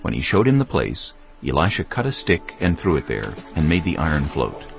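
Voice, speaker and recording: A man reading scripted Bible narration in a grave, measured storytelling manner. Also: rumbling low, huge pitch swings, vocal fry, muffled recording, music in the background.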